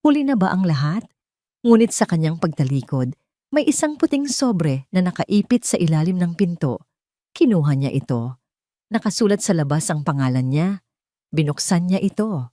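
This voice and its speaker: A woman's voice: lowish voice, big pitch swings, moderate pace, mellow, no room sound, clean studio sound. A woman with a Filipino accent reading a scripted, dramatic audio story as its narrator.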